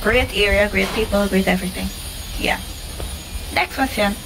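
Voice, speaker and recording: A woman's voice: medium pitch, normal speed, creaky. A young woman with a Trinidadian accent, answering viewer questions in a casual, chatty vlog and repeating herself often. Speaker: vlogger. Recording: noisy home recording.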